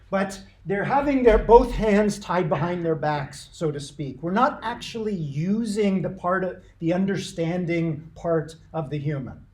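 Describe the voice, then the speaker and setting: Male, university lecturer, high-pitched voice, deliberate explanatory delivery, emphatic repetition, classroom questioning. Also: a little mellow.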